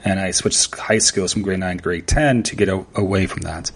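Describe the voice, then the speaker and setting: A middle-aged man from Canada, chatting as a podcast guest in a halting way, sometimes stuttering and slurring words. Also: low-pitched, vocal fry, breathy edge. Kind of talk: casual interview chat.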